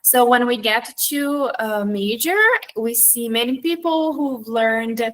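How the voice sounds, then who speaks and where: Higher-pitched Brazilian-accented woman. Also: slow. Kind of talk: sharing personal experience in a seminar discussion.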